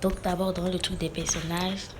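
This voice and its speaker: A woman's voice: on the low side, flat delivery, moderate pace. A woman with a cold giving a casual game tutorial, explaining step by step in a hesitant way. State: has a cold. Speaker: gaming tutorial host. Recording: loud music under the voice. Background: loud background music.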